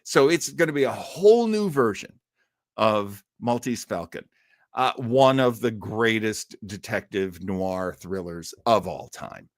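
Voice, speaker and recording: A man's voice: on the low side, lively intonation, a little slow, dry recording, studio quality. A man from the United States chatting casually and enthusiastically as host of a live listening show, rambling into asides. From United States, outside Boston. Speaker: host of an old-time radio listening show.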